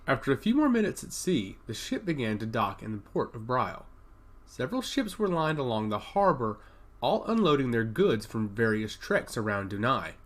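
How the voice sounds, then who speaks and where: A man reading scripted fantasy narration in a measured, formal storytelling manner. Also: mid-range voice.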